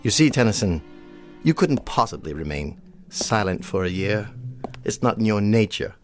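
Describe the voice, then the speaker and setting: An actor playing a haughty, contemptuous old colonel in a radio drama, speaking in a formal, precise and disdainful way. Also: male voice, lowish voice, sing-song, moderate pace, faint music behind. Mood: scornful.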